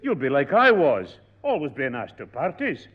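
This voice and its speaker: An actor playing a fond old grandad, talking slowly and reminiscing. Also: male voice, mid-range voice, wildly swooping pitch. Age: older. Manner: slow and reminiscing. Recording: dull old radio recording.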